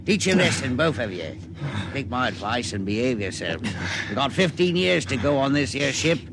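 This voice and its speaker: Gravelly, sneering ship's officer. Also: male voice, medium pitch, very animated, normal speed. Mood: scornful. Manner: mocking false politeness, bullying. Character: sneering, sadistic male ship's officer, a captain's enforcer. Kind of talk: 1950s radio drama acting. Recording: noisy old radio broadcast recording.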